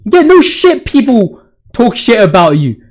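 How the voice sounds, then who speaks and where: A man's voice: extremely high pitch, huge pitch swings, unhurried. A man giving casual, opinionated gaming commentary as a video host, ranting in slang with emphatic repetition.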